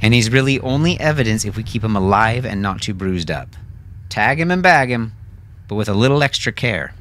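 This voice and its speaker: A man voicing a brisk, businesslike military captain who gives clipped, authoritative orders in an audio drama. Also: fairly low pitch, big pitch swings, a bit bright.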